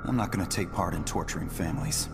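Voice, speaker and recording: Wry, defiant scientist rebel. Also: male voice, low voice, quick pace, over loud music. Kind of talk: cinematic game cutscene acting.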